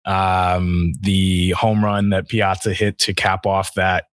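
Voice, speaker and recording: Low-voiced man talking sports casually. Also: flat delivery, slow pace, no echo, clean studio sound. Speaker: sports podcast co-host.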